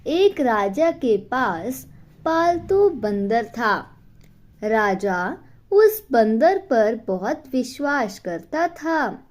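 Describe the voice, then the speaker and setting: A woman narrating a scripted children's bedtime story in simple, deliberate phrases with pauses. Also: sits high, a little mellow.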